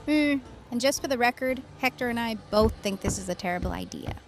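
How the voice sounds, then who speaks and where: A woman's voice: a little high, expressive, fairly quick, soft background music, close to an everyday voice. A woman acting as a worried, sensible young friend in a horror audio drama, speaking in a cautious, disapproving and pleading manner.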